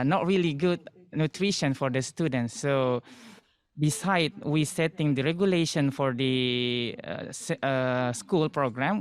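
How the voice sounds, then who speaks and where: Indonesian-accented male student panelist, earnest and halting, clean smooth tone. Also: medium pitch, no echo. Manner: halting, earnest, searches for words. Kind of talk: panel discussion comment.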